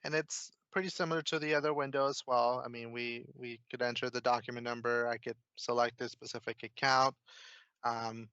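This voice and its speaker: A man presenting a casual software demo, explaining each step informally as he clicks through. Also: mid-range voice, little pitch movement, brisk, clear, resonant tone, no echo, lo-fi sound. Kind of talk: casual screen-share software walkthrough.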